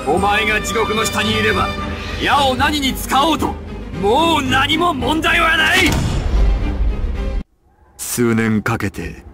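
Casting: imperious video game villain, highish voice, slow and menacing theatrical delivery. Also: male voice. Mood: aggressive, then smug, menacing. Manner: theatrical, menacing, deliberate, swinging from low murmur to shouts. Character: ruthless, imperious adult male crime boss villain. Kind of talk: dramatic anime video game voice acting. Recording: loud music over game voice clips. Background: loud game music.

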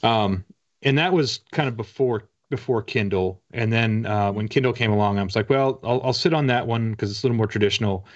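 A man in his forties speaks in a fairly low voice, slightly muffled by a lo-fi video call. He chats informally as a guest, hesitating and restarting with wry modesty. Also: a little fast. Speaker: guest, author. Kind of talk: casual interview chat. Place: indoor home room with bookshelves. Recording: dull, lo-fi video call audio.

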